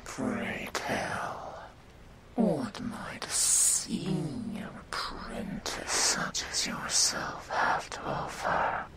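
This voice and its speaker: Casting: woman to play cruel, haughty demon girl for ASMR roleplay; whispery, theatrical, very slow delivery with wide swings in pitch. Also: lively intonation, exaggerated voice, poor audio. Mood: silky, taunting. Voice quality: breathy, much of it whispered. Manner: theatrical, taunting, archaic formal phrasing. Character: menacing, haughty demon girl, sadistic and wrathful. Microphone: binaural microphone.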